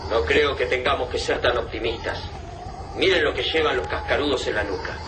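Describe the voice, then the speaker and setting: A sober, cautious thinker in a science fiction radio drama, played by a male actor. His voice sits at a middle pitch, with clear noise around it as he studies the enemy. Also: fairly quick, close to an everyday voice. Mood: grave, cautious. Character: sober, cautious, clever man analysing alien invaders. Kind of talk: dramatic radio play acting. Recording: noisy radio drama scene.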